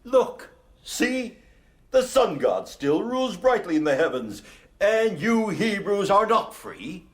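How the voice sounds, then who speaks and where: An actor playing a proud, defiant Egyptian pharaoh in an audio drama, declaiming theatrically. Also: male voice, sits high, big pitch swings, slow pace, full character voice. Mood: mocking.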